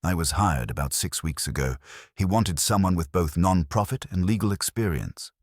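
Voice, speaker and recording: A man's voice: low voice, expressive, normal speed, no room sound, studio quality, pitched above the performer's own voice. A man voicing a cool, guarded professional woman in a detective audiobook, with clipped, composed, precise speech. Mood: composed, businesslike. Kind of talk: detective audiobook character dialogue.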